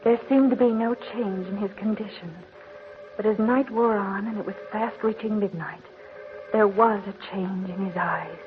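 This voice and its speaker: Actress playing an anxious devoted daughter, tinny old radio. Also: female voice, medium pitch, moderate pace, over music, lightly acted. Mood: sorrowful narration. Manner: earnest, emotional, theatrical. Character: anxious devoted daughter, newly married young woman. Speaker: narrator and lead character. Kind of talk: old-time radio drama acting. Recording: lo-fi noisy old radio recording.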